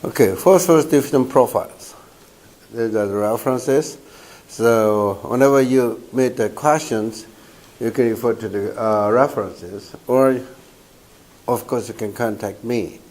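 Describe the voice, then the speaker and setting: An older man, a native Korean speaker with a Korean accent, giving a university lecture, hoarse from a cold and talking haltingly with many fillers, recorded by a distant camera in a lecture room. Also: mid-range voice, darkish tone. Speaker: professor. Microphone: far camera.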